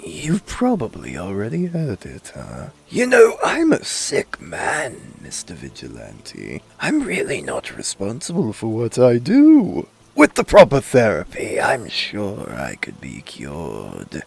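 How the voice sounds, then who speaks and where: Crazy clown villain for a comic dub, theatrical n taunting, gravelly, pitch all over the place. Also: male voice, wildly swooping pitch, slow, hardly any pauses, exaggerated voice. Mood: sly, amused. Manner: theatrical, mocking, swooping with laughter. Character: manic, gleeful male clown supervillain, theatrical and taunting. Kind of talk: comic book dub acting.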